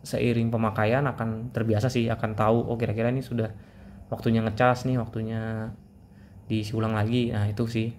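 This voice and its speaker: A man's voice: fairly low pitch, darkish tone, a little hiss or hum. A man giving a casual product review, explaining things informally as if to friends.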